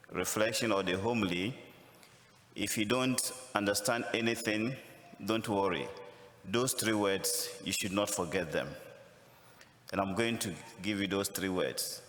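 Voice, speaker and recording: A man with a Ghanaian accent, a visiting priest giving a missionary appeal homily, speaking slowly and deliberately with touches of humor. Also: lowish voice, a bit bright. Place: large church. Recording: echoey church from a distance.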